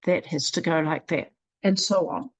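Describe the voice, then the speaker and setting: A woman with a New Zealand accent presenting a literacy program in a slow, deliberate walkthrough, sounding out letters one at a time. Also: low-pitched. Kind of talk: screen-recorded software walkthrough.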